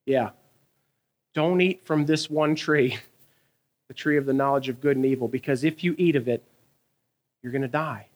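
A man, preaching a sermon in a conversational, animated way, asking his listeners questions and telling stories from his own life. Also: medium pitch, moderate pace, long pauses. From American South. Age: 30s. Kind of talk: sermon with personal storytelling.

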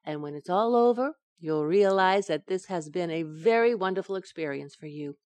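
A woman's voice: lowish voice, expressive, moderate pace, darkish tone, dry recording, close to an everyday voice. An actress playing a soothing, affectionate, motherly friend in an old-time radio sitcom. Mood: reassuring. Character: reassuring, motherly woman comforting her pregnant friend.

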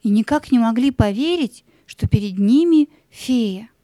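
A woman narrator reading a scripted fairy tale in an expressive, deliberate storytelling manner. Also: fairly high pitch, dry recording.

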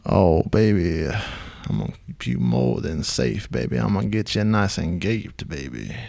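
Man putting on a creaky, drawling creepy seventies pimp. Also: low voice, expressive, warm tone, dry recording, voice pushed down, exaggerated voice. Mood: leering. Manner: drawn-out swaggering rhymed lines, calls everyone baby. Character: creepy smooth-talking 1970s pimp, swaggering lothario hero. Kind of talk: comedy radio play character acting.

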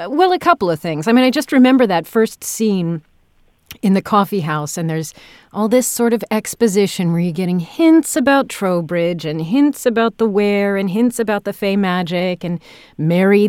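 A woman's voice: medium pitch, darkish tone, clear, resonant tone, no echo, clean studio sound. A woman chatting casually as a podcast interviewer, feeling her way through phrases. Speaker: podcast interviewer, audio drama director and actor.

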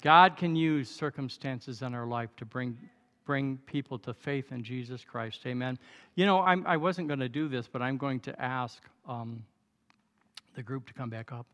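A man's voice: medium pitch, normal speed. A man of seventy preaching as a pastor, exhorting the congregation in repeated declarations of thanks and praise. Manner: exhorting, repetitive declarations, breaking into shouted praise. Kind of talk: sermon and altar call. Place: large church hall. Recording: hall reverb with music behind.

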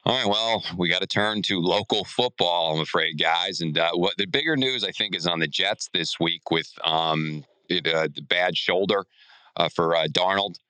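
A man's voice: deep voice, normal speed, sharp tone, clear, resonant tone, no echo, poor audio. A man hosting a casual sports chat in an informal, conversational way. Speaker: podcast host.

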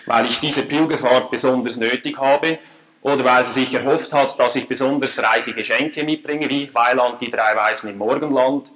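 A man with a Swiss German accent giving a formal lecture at a conference, measured and dryly humorous. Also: mid-range voice, steady tone, fairly bright tone, rough recording. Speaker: conference speaker. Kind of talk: academic lecture. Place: lecture hall.

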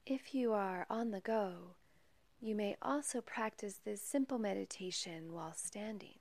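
Female meditation guide, unhurried and gentle, soft breathy delivery with whispery edges, very dry close sound. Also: medium pitch, dry recording. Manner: calm, measured, gentle, with long pauses. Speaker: meditation guide and podcast host.

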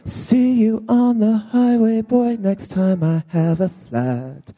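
A man with a high voice sings a sly, suggestive cabaret number at a live show. He takes his time, drawing the lines out slowly, with faint accompaniment underneath. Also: dark tone, faint music behind. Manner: sung, sly and suggestive delivery. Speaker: cabaret singer. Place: live performance venue.

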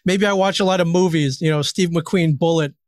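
High-pitched easygoing American man, dry sound. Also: brisk, a little mellow, no echo. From Michigan, United States. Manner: hesitant, self-deprecating, easygoing. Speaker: talk show guest. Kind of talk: casual chat, anecdotal storytelling.

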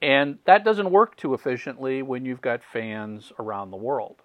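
A man's voice: medium pitch, normal speed, fairly bright tone, no echo. A man hosting a music business podcast, talking in a friendly, conversational way with ums and repeated words. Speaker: podcast host. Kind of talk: casual interview talk.

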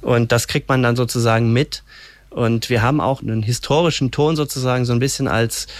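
A man being interviewed, explaining a project in a halting, explanatory way. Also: medium pitch, fairly even intonation, dry recording. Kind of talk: interview talk.

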